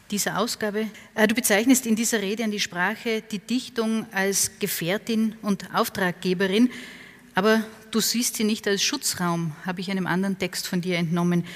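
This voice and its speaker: A woman interviewer asking measured questions and quoting the guest's texts. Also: medium pitch, in a large hall.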